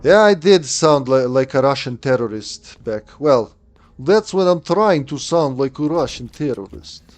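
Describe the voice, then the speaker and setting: A man's voice: mid-range voice, animated, unhurried, darkish tone, faint music behind. A man in his late thirties giving live commentary on a game, chatting, thinking aloud and answering chat. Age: late 30s. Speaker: streamer.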